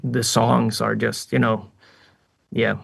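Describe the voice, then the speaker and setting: A man from Sweden with a Swedish accent, a musician answering interview questions in a relaxed way with many fillers. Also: medium pitch, slow, gravelly, husky. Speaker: musician guest.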